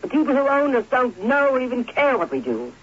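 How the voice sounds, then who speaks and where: Casting: shrewd agency boss, measured yet lively inflection, vintage radio drama, muffled noisy recording. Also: female voice, sits high, slightly rough, full character voice. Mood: brisk, stern. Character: shrewd, firm but tactful female advertising agency executive. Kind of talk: 1970s radio drama acting.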